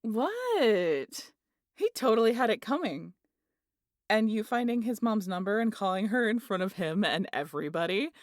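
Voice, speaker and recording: A woman's voice: medium pitch, normal speed, no room sound, studio quality, lightly acted. A woman playing a brash, teasing, big muscular aunt in an ASMR audio drama, a swaggering storyteller. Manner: swaggering, foul-mouthed, animated storyteller.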